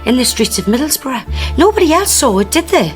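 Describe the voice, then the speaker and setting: An actress playing a wary, troubled woman who witnessed an arson, speaking bluntly and haltingly. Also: sits high, very animated, soft background music. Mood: uneasy, guarded. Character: troubled working-class woman haunted by a unicorn vision. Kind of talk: radio drama acting.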